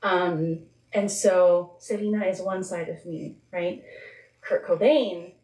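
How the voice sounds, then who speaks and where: Mellow woman speaking in an echoey room. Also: fairly low pitch, steady tone, slight background noise. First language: Spanish. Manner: reflective, halting, informal, with frequent filler words. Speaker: author and guest speaker at a book event. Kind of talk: candid live talk answering audience questions. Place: live event in a bookstore. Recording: echoey room, distant microphone.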